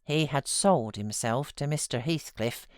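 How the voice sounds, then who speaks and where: A British woman reading a classic novel as an audiobook narrator, in a measured, expressive, dramatic literary style. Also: rumbling low, dry recording, studio quality.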